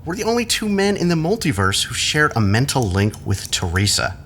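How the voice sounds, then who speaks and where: A man's voice: medium pitch, wildly swooping pitch, fairly quick, a bit bright, a little hiss or hum. An actor playing a smug, scheming rival in an audio drama, glib and mocking as he talks. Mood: smug, goading.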